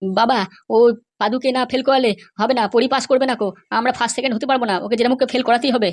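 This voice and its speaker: A whining cartoon schoolboy, played by a woman, speaking very fast. He is spiteful and insistent, pushing to get his way. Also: on the high side, fairly even intonation, natural voice. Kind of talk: comedy cartoon dialogue.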